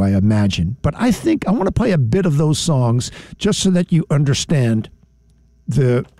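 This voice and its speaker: A man's voice: medium pitch, dark tone, no room sound. A middle-aged man hosting a radio show, talking casually in a studio, often restarting and correcting himself. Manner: rambling, self-correcting. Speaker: radio host. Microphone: studio mic.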